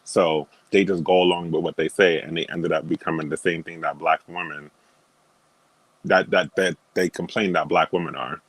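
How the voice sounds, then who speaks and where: A man's voice: deep voice, fairly even intonation, moderate pace, fairly bright tone, husky, dry recording, poor audio. A man talking as a guest on a video call, stating firm opinions with many fillers and laughing at himself. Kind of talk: casual opinionated chat.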